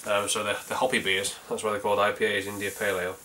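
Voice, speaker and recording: Bright-voiced North Yorkshire man reviewing beer. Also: on the low side, flat delivery, very fast, slight background noise, low-quality recording. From North Yorkshire, England. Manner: chatty and rambling, with frequent fillers and restarts. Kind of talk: casual video beer review.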